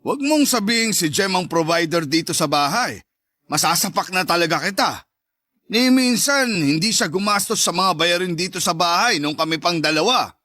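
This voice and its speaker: High, bright-voiced, taunting macho ex-husband, acted by a man. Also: expressive, moderate pace, no echo, studio quality. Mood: threatening. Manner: taunting, domineering. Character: brash, macho, sneering ex-husband and father. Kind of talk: Tagalog radio-style love story drama.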